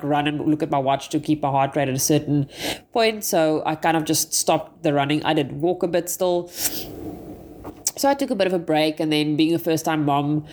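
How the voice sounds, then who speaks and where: A woman's voice: low voice, darkish tone. A South African woman in her thirties with a blocked, stuffy nose, chatting in a meandering, self-correcting way as a podcast host. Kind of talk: casual personal monologue.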